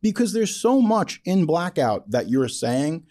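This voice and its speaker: A man's voice: on the high side, very animated, unhurried, a little mellow. A man hosting a podcast in a casual, joking way, rambling and self-deprecating, with the odd casual swear word. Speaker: podcast host interviewing a filmmaker.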